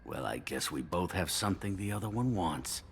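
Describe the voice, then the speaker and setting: A man's voice: expressive, normal speed, noisy background. An actor playing a shifty, defiant male criminal in a video game, sneering and sarcastic. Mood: smug, defiant. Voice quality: low, gravelly.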